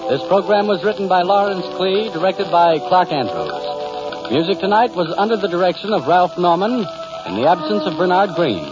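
A man working as a 1940s radio announcer, reading scripted credits in a formal, measured way. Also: sits high, sing-song. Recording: muffled old radio recording with music.

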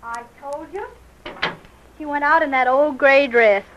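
A lo-fi old radio recording of a fretful young daughter. Her voice is fairly high and querulous, nosy and indignant in a family comedy. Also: a little slow, background noise. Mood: indignant, envious. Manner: querulous, emphatic. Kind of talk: old-time radio comedy serial acting. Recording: dull lo-fi vintage radio recording.

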